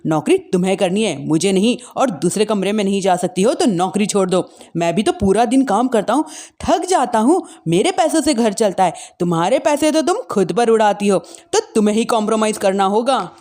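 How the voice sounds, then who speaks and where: A woman's voice: medium pitch, expressive, a little fast, warm tone, close to an everyday voice. A woman voicing an irritated, tired young husband who grumbles and makes blunt demands. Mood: demanding.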